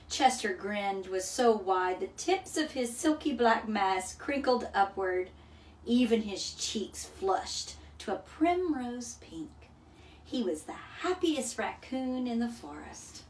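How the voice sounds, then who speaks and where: A woman's voice: fairly high pitch, lively intonation, slow pace. A woman narrating a children's storybook as a story time reader. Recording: echoey room, distant mic, faint noise.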